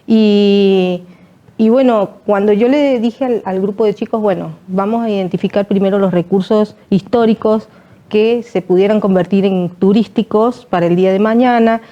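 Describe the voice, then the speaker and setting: Mellow-voiced woman, hesitant storyteller. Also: mid-range voice, muffled recording. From Peru. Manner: hesitant, many fillers, measured. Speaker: guest, local historian and author. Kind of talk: conversational storytelling.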